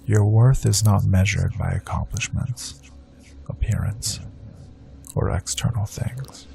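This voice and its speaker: A man leading a guided hypnosis meditation, speaking slow soothing affirmations that drift into soft whispers. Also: on the low side, gravelly, faint music behind.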